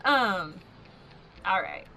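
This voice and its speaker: A woman's voice: medium pitch, huge pitch swings, extremely slow, vocal fry, sharp tone, slight background noise. A woman playing a tabletop game, talking casually and a little hesitantly.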